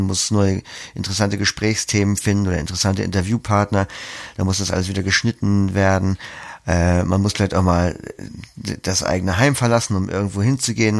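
Low-voiced man, reflective interviewee. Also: gravelly. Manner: articulate, reflective, explanatory. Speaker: interview guest. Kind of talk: conversational interview answers, explaining opinions.

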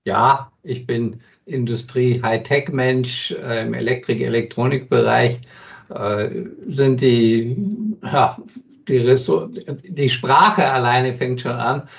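A man with a gravelly voice explains his views as an interview guest, pausing often to search for words. He sounds set back from the microphone. The recording is a little dull. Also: mid-range voice, fairly even intonation. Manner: thoughtful, frequent hesitation fillers and restarts. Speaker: industry consultant as interview guest. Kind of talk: conversational interview answers. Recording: dull sound from some distance.

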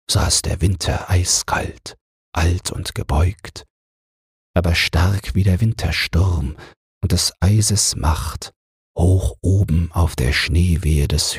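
A man narrating a bedtime fairy tale audiobook in a calm, measured, husky, breathy voice. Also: low voice, no echo, studio quality.

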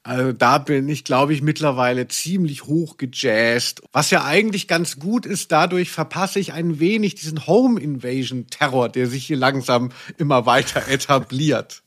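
A man's voice: mid-range voice, steady tone, normal speed, hardly any pauses, fairly bright tone. A man hosting a podcast with casual, humorous commentary, given to ironic mock drama. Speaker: podcast host.

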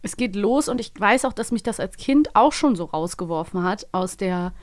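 A woman's voice: medium pitch, lively intonation, moderate pace, no echo, clean studio sound. A young woman hosting a podcast, chatting casually with a co-host. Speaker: host of an audio drama review podcast.

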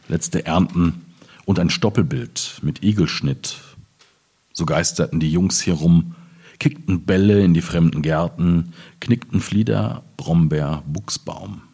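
A man speaking as a poet at a literary reading, in measured, clearly phrased German delivery. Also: low voice, darkish tone. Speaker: poet reading his own work. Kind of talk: literary reading of poems with informal introduction.

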